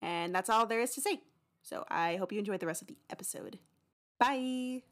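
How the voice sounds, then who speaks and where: Podcast host: young adult woman, informal, chatty break announcements, medium pitch. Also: normal speed. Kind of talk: casual mid-episode announcement.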